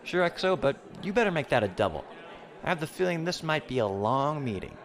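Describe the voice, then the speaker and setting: An actor playing a patient, easygoing pirate starship captain, calm and informal, in an audio drama. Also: male voice, on the high side, a little fast. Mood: wry.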